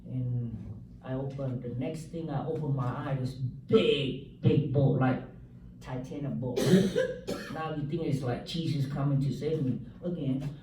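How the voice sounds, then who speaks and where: A man from South Vietnam, giving a halting church testimony full of fillers and joking asides. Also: mid-range voice, mellow, slight background noise. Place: church hall. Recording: echoey hall, mic from far off.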